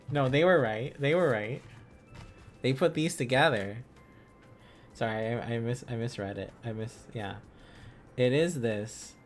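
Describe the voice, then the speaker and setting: A man hosts a casual live-stream lesson, taking his time and thinking out loud. His delivery is slow, hesitant and playful, wandering as he goes. Music plays faintly behind his medium-pitched voice.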